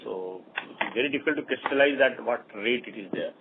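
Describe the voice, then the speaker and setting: A man with an Indian accent, a bank executive answering analysts' questions in a halting, repetitive way. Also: mid-range voice. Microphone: conference call line. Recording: tinny phone line with clear noise.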